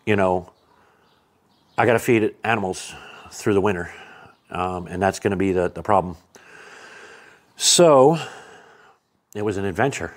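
An American man in his forties, a homesteader vlogging casually to camera with a lapel mic around a farm, thinking aloud with lots of fillers and restarts. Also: on the low side. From United States. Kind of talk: casual vlog talk to camera. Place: farm shed, yard and barn, partly outdoors. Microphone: clip-on lapel mic.

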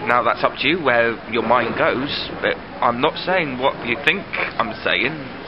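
A man's voice: mid-range voice, a little slow. A young Englishman talks to his camera at arm's length, his nose blocked from a cold. He chatters and grumbles casually, laughing often. The sound is a bit muffled and lo-fi, with busy crowd chatter behind him. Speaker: vlogger. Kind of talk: casual daily vlog chat. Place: bedroom at home, later a busy cafe. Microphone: handheld camera at arm's length. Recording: slightly dull lo-fi camera audio, busy crowd.

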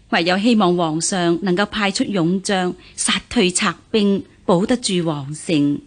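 A woman acting a worried, gentle middle-aged mother of an official household in a Cantonese radio drama, sighing and solicitous. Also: fairly low pitch.

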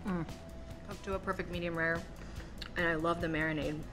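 A woman's voice: lowish voice, fairly even intonation, brisk, poor audio. Upbeat woman host over background music. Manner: enthusiastic, savoring descriptions. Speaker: food show host. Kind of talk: upbeat food review chat.

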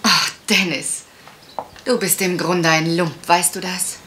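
A woman's voice: on the low side, steady tone, slow, bright, forceful tone, slight background noise. A woman playing a troubled, wistful lady of a manor house in a radio crime drama, polite and hesitant. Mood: wistful, reproachful.

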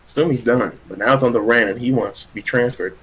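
A man's voice: mid-range voice, fast talker, a bit bright, slightly rough, poor audio. A young man on game voice chat with friends, complaining and swearing casually. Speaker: gamer on voice chat.

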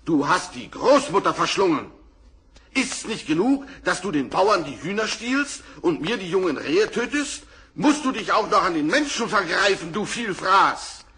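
A man's voice: sits high, very animated, unhurried, pushed and bright, husky, voice pushed up, heavily acted. A man playing a bold, righteous huntsman in a German fairy-tale radio play, speaking declamatorily and scolding, on a crackly old record. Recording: distant crackly vinyl record.

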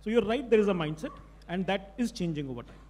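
A man, a company executive speaking on a conference panel in a measured, explanatory way. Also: high voice, expressive, darkish tone, slight background noise. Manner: measured, explanatory, mixes Hindi and English. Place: large conference hall stage. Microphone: handheld mic. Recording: long hall reverb.

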